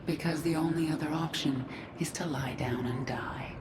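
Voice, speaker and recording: A woman's voice: low-pitched, steady tone, normal speed, background noise. An actress playing a vengeful alien swarm queen in a video game, cold, resolute and commanding, with a layered processed voice. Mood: grim determination. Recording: echoey, distant, under loud game music.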